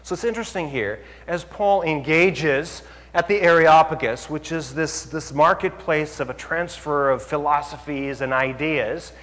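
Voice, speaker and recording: A male pastor giving an animated sermon, asking the audience questions and rising to near-shouts to make his points. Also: on the high side. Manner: asks the audience rhetorical questions. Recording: long hall reverb.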